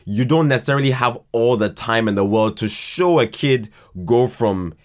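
A man chatting casually as a podcast guest, halting and often repeating words as he explains his ideas. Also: mid-range voice. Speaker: podcast guest, writer and creator of a fiction podcast. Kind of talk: casual interview chat.

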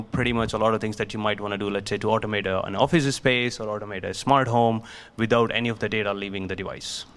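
A man with a fairly low voice explains technology in an interview. He talks as a startup founder, walking through the technical details. He often breaks off and restarts his sentences. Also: fairly bright tone. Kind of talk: interview answers.

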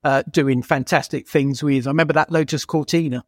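Higher-pitched man in casual racing chat. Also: fairly even intonation, a little fast, no room sound, clean studio sound. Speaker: podcast co-host.

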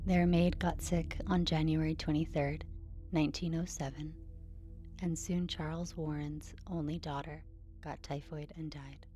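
Low, mellow woman narrating a sleep story. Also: flat delivery, a little slow, faint music behind. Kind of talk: slow, soothing scripted bedtime narration.

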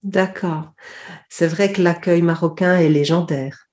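A woman hosting a podcast, asking her guest polite, measured questions. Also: on the low side, fairly even intonation, darkish tone. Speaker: podcast host. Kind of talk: interview questions.

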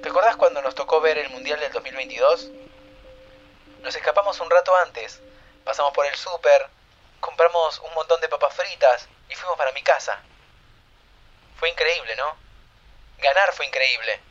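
An actor with an Argentine accent playing a friend leaving a nostalgic, apologetic recorded message. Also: male voice, on the high side, moderate pace, long pauses, fairly bright tone, soft background music. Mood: wistful. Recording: dull recorded message with faint noise.

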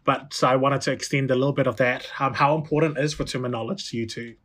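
A man hosting a podcast leads the interview at a middle pitch. He asks thoughtful questions and often hesitates on "um" while he gathers his words. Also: fairly even intonation, fast, fairly bright tone. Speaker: host.

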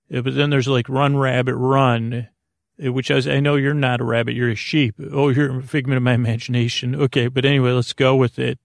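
A man hosting a podcast in a rambling, whimsical bedtime monologue, halting and stammering, trailing off mid-thought with deadpan, absurd asides. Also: medium pitch, vocal fry, no room sound, clean studio sound. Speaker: podcast host.

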